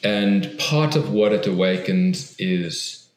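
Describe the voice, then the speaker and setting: Interview guest: a lower-voiced man, earnest and reflective, who restarts his sentences, recorded in an echoey room. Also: animated, slow pace. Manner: thoughtful, earnest, frequent restarts and repetitions. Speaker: guest author. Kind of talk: podcast interview.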